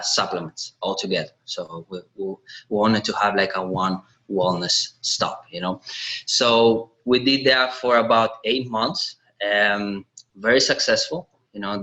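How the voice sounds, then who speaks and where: A man. His voice is lowish but bright and forceful. He talks earnestly and haltingly in a casual interview, making small grammar slips as he goes. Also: poor audio. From Latvia. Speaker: podcast guest, online seller.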